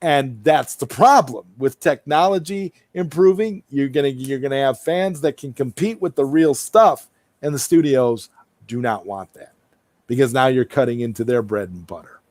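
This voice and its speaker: A man's voice: medium pitch, normal speed, a little mellow, no echo. A man hosting a livestream, chatting casually and answering viewer comments, often repeating and rephrasing himself as he thinks aloud. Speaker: livestream host.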